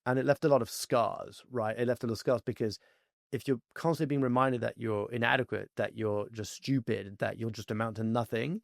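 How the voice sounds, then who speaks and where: Man, forties, from France, guest on a talk podcast; rapid, gravelly, animated storyteller. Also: mid-range voice, dry recording, clean studio sound. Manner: fast, animated storytelling with many fillers. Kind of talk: casual interview chat.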